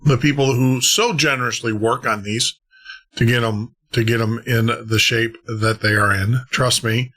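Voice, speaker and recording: A man's voice: mid-range voice, fairly even intonation, a little slow, fairly bright tone. A male podcast host talking casually, apologetic and chatty, laughing now and then. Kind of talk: casual chat.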